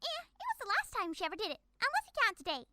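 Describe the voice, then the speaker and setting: Hyperactive, squeaky-high cartoon party pony. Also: female voice, huge pitch swings, rapid-fire, pushed and bright, dry recording, full character voice. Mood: surprised.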